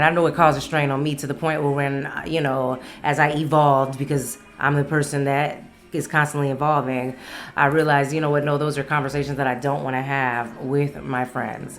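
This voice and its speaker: A woman hosting a solo podcast in a casual, candid, playful way, swearing freely. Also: very deep voice. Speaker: podcast host.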